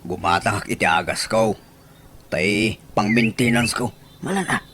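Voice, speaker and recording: A man's voice: lowish voice, a little slow, fairly bright tone, slight background noise. An actor playing an easygoing older village man in an Ilocano comedy radio drama, with an Ilocano accent. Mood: casual, sheepish.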